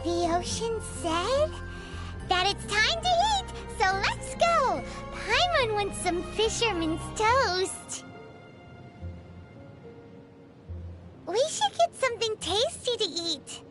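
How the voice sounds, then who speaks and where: A tiny, gluttonous fairy-like girl companion from a video game. The voice is extremely high and squeaky, with an animated melody and an unhurried pace. It is childlike and exclamatory. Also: exaggerated voice. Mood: playful. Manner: speaks of herself in third person, exclamatory. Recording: music behind the voice.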